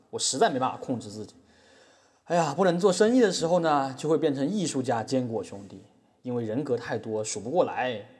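A man acts out a self-styled tycoon with a split personality in a satirical podcast trial. His pitch is fairly high and very lively, earnest and full of excuses, with hall reverb around him. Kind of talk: satirical podcast courtroom drama.

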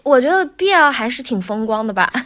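A woman's voice: fairly high pitch, big pitch swings, fairly quick, fairly bright tone. A woman speaking casually as a voice actor guest on a podcast, explaining things and often rephrasing herself as she goes.

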